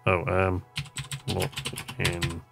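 Low, gravelly man commentating on a game. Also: monotone, drawn-out words, loud music behind the voice. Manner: chatty, muttering to himself while playing. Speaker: let's play host. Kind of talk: casual gameplay commentary, reading on-screen text aloud.

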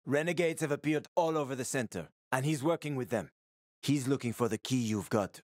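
A man's voice: medium pitch, a little fast, darkish tone, no room sound, studio quality, close to an everyday voice. An actor playing a wry, streetwise male survivor and former cab driver in a video game, colloquial and sweary, with an urgent manner. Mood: grave warning. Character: wry, streetwise male ex-cabbie survivor, fatherly yet shifty. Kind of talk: video game character dialogue.

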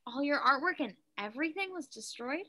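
A woman's voice: high-pitched, lively intonation, brisk, sharp tone. A young woman reading the part of a plucky, sardonic daughter in a play over a video call. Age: young adult. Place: room at home. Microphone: computer mic on video call.

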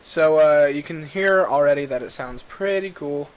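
A man giving a casual, rambling software tutorial, recorded on a computer microphone a little away from him. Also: fairly high pitch, moderate pace. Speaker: tutorial host. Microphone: computer mic a little far away. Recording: clearly hissy amateur computer mic recording.

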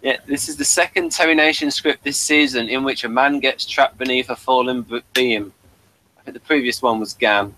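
A man's voice: mid-range voice, fairly even intonation, normal speed, pushed and bright, poor audio. A man chatting casually as he co-hosts a review podcast. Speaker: podcast co-host.

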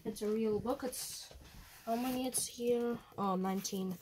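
A young girl giving a casual video tour of her home, talking in a halting way with fillers and restarts, recorded on a handheld phone. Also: a little high, steady tone, a little mellow, slight background noise. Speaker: house tour guide. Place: inside a house. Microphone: handheld phone camera.

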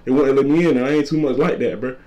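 A twenty-year-old man with a deep voice, a content creator talking casually to camera and repeating his points. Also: steady tone, fast, dark tone, highs cut off. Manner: repetitive, laid-back slangy talk, repeats lines. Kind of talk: casual vlog talk to camera.

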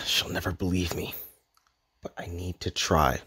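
A man voicing a brooding, lovelorn immortal in an animated fantasy, with an earnest, melodramatic delivery. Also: sits low, a little slow, husky, heavily acted. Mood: yearning, resolute. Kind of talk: dramatic animated fantasy dialogue.

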